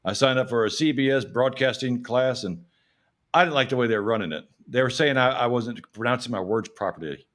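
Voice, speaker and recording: A man's voice: mid-range voice, a little fast, fairly bright tone. An older man with a Texan accent, co-hosting a casual chat and telling stories with some stumbles. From Texas. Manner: storytelling, stumbles on repeated words. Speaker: co-host.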